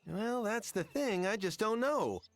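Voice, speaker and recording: Animated, sheepish male cattle farmer. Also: sits high, a little slow, faint music behind. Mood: sheepish worry. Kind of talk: voiced video game dialogue.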